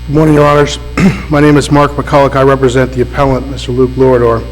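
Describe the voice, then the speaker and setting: A man with a cold, serving as defense attorney and arguing an appeal in formal, deliberate speech that sometimes stalls under questioning. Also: medium pitch, monotone. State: has a cold. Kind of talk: appellate oral argument. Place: courtroom. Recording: roomy courtroom with faint mains hum.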